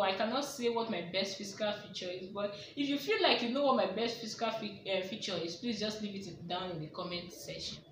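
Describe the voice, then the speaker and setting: A woman's voice: fairly low pitch, noisy background. A young woman with a Nigerian accent, answering questions in a casual vlog and thinking aloud, repeating each question to herself. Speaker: vlogger. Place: small indoor room with a plain wall. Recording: echoey room, mic at a distance.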